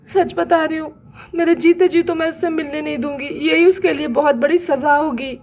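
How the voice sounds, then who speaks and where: A woman's voice: high voice, steady tone, normal speed, a little mellow, voice pushed down. A woman voicing a bitter, furious middle-aged mother who speaks sternly.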